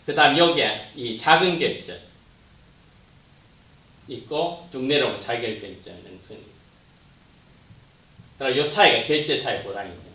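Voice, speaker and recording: A man's voice: medium pitch, lively intonation, a little slow, pauses often. A man giving an anatomy lecture, pointing at slides and naming parts, his voice dropping at the end of phrases. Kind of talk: classroom lecture. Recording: roomy, distant, muffled lecture capture.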